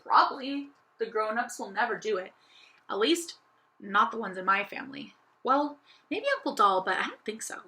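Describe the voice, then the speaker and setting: A woman's voice: medium pitch, normal speed, bright, forceful tone, rough recording. A woman voicing a young girl doll in a children's story, eager, earnest and confiding, restless for adventure. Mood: eager, determined. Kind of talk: children's audiobook character voice.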